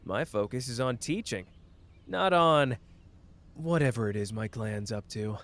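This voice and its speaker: A man's voice: mid-range voice, expressive, measured pace, fairly bright tone. A man playing a cocky, playful young sorcerer teacher in an ASMR roleplay, breezy and teasing as he speaks to the listener. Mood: breezy, casual. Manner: breezy, teasing, self-assured.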